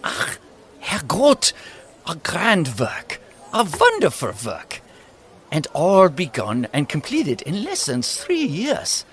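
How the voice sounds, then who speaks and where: Slow, lilting countryman. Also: fairly high pitch, huge pitch swings, a bit bright, full character voice. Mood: cheerful, proud. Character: friendly, proud Swiss local countryman. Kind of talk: audio drama acting.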